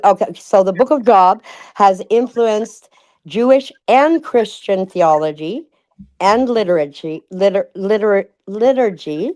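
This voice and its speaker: A woman in a casual livestream discussion, thinking aloud with many restarts and reading passages out slowly. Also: medium pitch.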